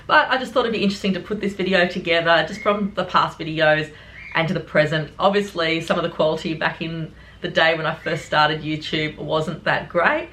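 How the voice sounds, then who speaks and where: A middle-aged woman with a croaky voice from a cold, chatting casually and rambling to her viewers about groceries. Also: low voice, steady tone, fairly quick, a bit bright, slight background noise. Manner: chatty, rambling, many fillers. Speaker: vlogger. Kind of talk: casual chat to camera.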